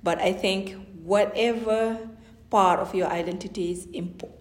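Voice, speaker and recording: A woman's voice: mid-range voice, steady tone, moderate pace. A woman from Senegal, an academic guest giving thoughtful answers and often restarting sentences. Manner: thoughtful, restarts sentences, lots of "you know". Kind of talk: interview answers. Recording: echoey room.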